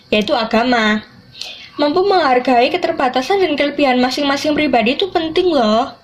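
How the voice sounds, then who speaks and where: A teenage young woman, giving scripted educational narration as a student podcast presenter. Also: sits high. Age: teens, high school student.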